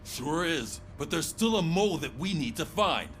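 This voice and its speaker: An actor playing a gruff older police sergeant in a video game, blunt and authoritative as he addresses subordinates. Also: fairly high pitch, animated, normal speed, a bit bright, slight background noise. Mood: firm, weary.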